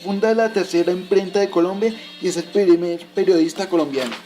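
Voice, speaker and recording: A man acts out a patient father in a scripted radio drama. His voice sits high for a man and he lectures his son in a didactic, recited way. Music plays faintly behind him. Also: fairly quick, mellow, lightly acted. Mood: explanatory.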